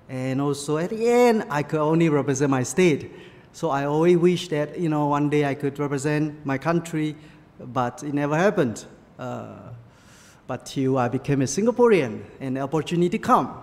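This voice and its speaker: A man from Malaysia whose first language is Chinese, preaching a sermon with personal stories in halting English full of fillers. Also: fairly high pitch, a little mellow, big-room sound. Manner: halting, many fillers, non-native English phrasing.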